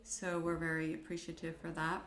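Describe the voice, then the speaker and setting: A woman hosting a conversational interview, speaking in a measured, appreciative way. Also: sits low, monotone, fairly bright tone, slight background noise. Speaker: interviewer, volunteer project founder. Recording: roomy echo.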